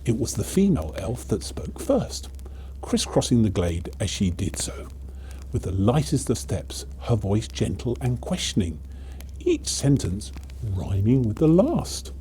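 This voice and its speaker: Male storyteller, very soft dark tone, lively swings of pitch, theatrical children's tale delivery. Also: a little slow, a little hiss or hum, low-quality recording. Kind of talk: dramatic children's storybook narration.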